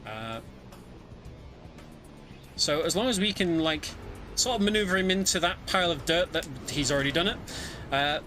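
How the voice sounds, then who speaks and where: Bright-voiced man commentating a speedrun. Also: fairly high pitch, animated, normal speed, long pauses. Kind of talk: live speedrun commentary, casual. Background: video game music.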